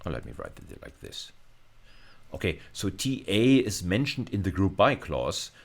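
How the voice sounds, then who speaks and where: A man's voice: low-pitched, sing-song, normal speed, vocal fry, a little hiss or hum. A man lecturing at university level in an informal screencast style, explaining hesitantly and often repeating and rephrasing himself.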